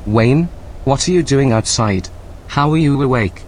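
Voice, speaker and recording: A man's voice: mid-range voice, animated, a little hiss or hum, lightly acted, low-quality recording. Man playing a stiff, officious AI overseer. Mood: stern, puzzled. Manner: formal, measured, precise wording. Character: stiff, officious male artificial intelligence overseer. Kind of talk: scripted audio drama acting.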